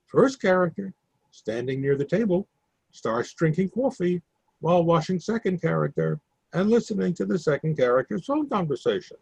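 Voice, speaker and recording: Man, stage directions read aloud, matter-of-fact, raspy, lively pitch. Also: moderate pace, dry recording, poor audio.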